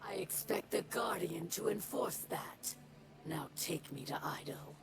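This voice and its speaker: A woman voicing a defiant, bitter female alien rebel leader who speaks in a hushed, scornful half-whisper. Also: fairly low pitch, animated, a little slow, vocal fry, noisy background. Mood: wary. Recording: game audio over loud music. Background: loud music.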